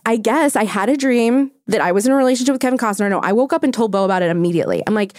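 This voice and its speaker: A woman's voice: on the high side, very fast, a little mellow, no room sound, clean studio sound. A woman hosting a podcast in casual banter between friends, with a blunt, teasing, sweary manner. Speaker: pop culture podcast host.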